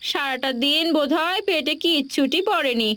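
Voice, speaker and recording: A woman's voice: high voice, moderate pace, bright, forceful tone. A woman playing a caring, fretful poor village wife and mother with a coaxing, homely manner in a Bengali cartoon fairy tale. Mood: fussing. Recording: faint rain noise behind.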